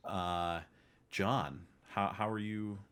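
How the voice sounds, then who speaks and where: Guy with a lowish voice hosting a laid-out casual gaming podcast, moderating. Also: fairly even intonation, drawn-out words, fairly bright tone, smooth. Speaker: podcast host.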